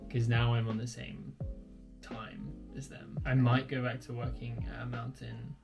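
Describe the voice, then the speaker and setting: A man's voice: mid-range voice, fairly even intonation, a little slow, gravelly, over music. A man in his mid twenties answering questions as a guest, laid-back and casual, with lots of filler words, recorded on a fixed camera in a bedroom. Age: 20s. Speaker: guest, partner. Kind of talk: casual chat. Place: bedroom at home.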